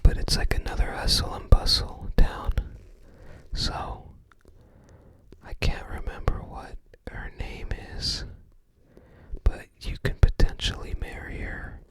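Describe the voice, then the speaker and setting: Slow, fully whispered ASMR voice. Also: fairly bright tone. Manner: unhurried, musing, tentative recollection. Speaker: ASMR creator. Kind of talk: whispered ASMR, casual game guide commentary.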